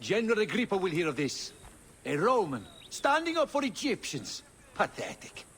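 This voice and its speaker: An actor playing a menacing, contemptuous Roman soldier in a video game. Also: male voice, high-pitched, wildly swooping pitch, normal speed, fairly bright tone, slight background noise, heavily acted. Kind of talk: dramatic video game acting.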